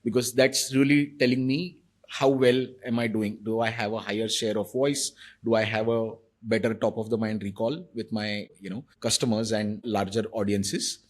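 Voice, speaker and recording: A man's voice: on the low side, fairly even intonation, moderate pace. A man, a marketing executive, answering interview questions in a thoughtful, explanatory way with frequent fillers.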